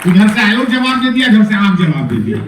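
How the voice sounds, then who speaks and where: A man's voice: high voice, big pitch swings, brisk, a crowd in the background, background noise. A man with a Bihari accent, a stage poet giving a teasing, folksy talk to students at a poetry event. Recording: echoey hall, voice from far off.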